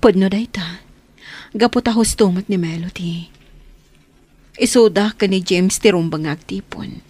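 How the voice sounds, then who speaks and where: Breathy, self-sacrificing woman in love. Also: medium pitch, a little slow, darkish tone, dry recording. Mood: sorrowful, conflicted. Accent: Ilocano. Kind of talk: Ilocano radio drama acting.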